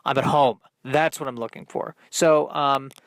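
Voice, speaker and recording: Halting, self-deprecating man, close dry recording. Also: mid-range voice, fairly even intonation, fairly bright tone, no echo. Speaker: podcast host working out stand-up comedy bits. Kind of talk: rambling comedic solo monologue.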